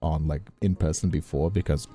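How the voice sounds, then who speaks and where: A man's voice: extremely low pitch, fairly even intonation, a little fast, dark tone, husky, soft background music. A man from Brazil chatting casually and informally as a streamer on a livestream, repeating himself for emphasis. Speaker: streamer and voice actor.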